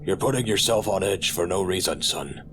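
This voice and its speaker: Sci-fi admiral, played by a man: deep bass, measured authority, cool and dismissive, clear background noise. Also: animated. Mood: evasive, calm. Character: evasive, authoritative male admiral, coolly paternal. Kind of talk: sci-fi audio drama acting.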